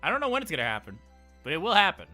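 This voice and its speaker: A man's voice: high-pitched, wildly swooping pitch, rapid-fire, over music. A man hosting a casual livestream, chatting in an informal, rambling, jokey way. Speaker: livestream host.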